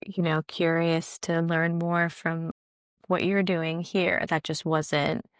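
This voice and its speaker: A woman's voice: lowish voice, little pitch movement, takes it slowly, clear, resonant tone, no room sound, studio quality. A woman hosting a podcast, speaking thoughtfully and hedging with fillers. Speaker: podcast host, Pilates apprentice. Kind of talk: casual conversation.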